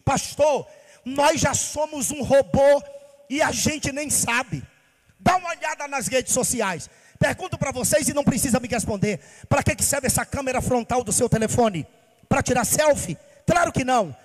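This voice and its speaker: A pastor from Bahia preaching with passion, asking rhetorical questions in a sing-song cadence. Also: male voice, extremely high pitch, animated, normal speed, in a large hall. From Bahia, Brazil. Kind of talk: sermon.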